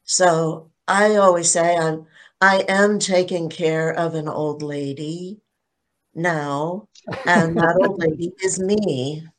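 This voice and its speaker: An older woman speaking as a panelist in a casual online discussion, slow and thoughtful, often restarting her sentences. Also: fairly low pitch, steady tone, rough recording. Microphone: video call.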